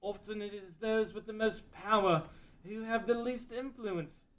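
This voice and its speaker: A man's voice: sits high, unhurried. A man playing a mournful, fatalistic immortal watcher in a fantasy table read. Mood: resigned sorrow.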